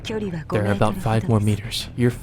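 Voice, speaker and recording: A man's voice: fairly low pitch, very animated, normal speed, music in the background. A man reading visual novel narration aloud as a let's play narrator, in a dramatic storytelling manner.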